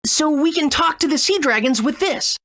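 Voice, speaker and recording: A woman's voice: sits high, expressive, normal speed, a bit bright. A woman voicing an eager, good-natured young boy hero in a video game, earnest and agreeable. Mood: curious.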